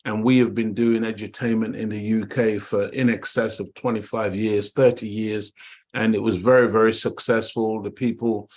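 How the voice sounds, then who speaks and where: A man's voice: on the low side, little pitch movement, moderate pace, darkish tone. A middle-aged man from Jamaica, co-hosting an online talk show and preaching with emphatic repetition. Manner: preaching, emphatic, repeats words, addresses "dear family". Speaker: co-host. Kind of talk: impassioned talk-show monologue. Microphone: online call.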